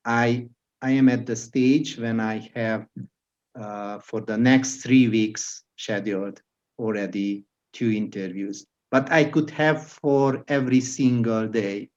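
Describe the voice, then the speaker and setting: A man from Hungary with a Hungarian accent, hosting a podcast interview in casual chat and speaking in halting phrases with frequent restarts. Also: mid-range voice. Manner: halting, restarts words, laughs softly. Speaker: podcast host. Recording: dull lo-fi audio.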